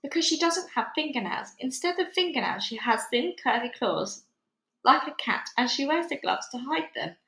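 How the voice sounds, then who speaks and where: A stern old grandmother from a storybook, acted out by a woman, lecturing emphatically. Her voice is high and creaky, and the room echoes around her. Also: animated, moderate pace, fairly bright tone, heavily acted. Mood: knowing, emphatic. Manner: emphatic, brusque, instructive. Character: stern, knowing old grandmother telling witch lore. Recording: roomy, echoey home recording.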